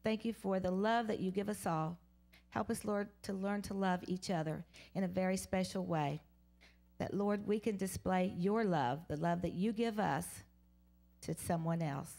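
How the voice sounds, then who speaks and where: A woman's voice: mid-range voice, normal speed, creaky, darkish tone, soft background music. A woman giving a children's sermon in a church, talking simply and asking the kids questions. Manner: simple, coaxing, call-and-response questions. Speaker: children's message leader. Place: church sanctuary in front of seated children.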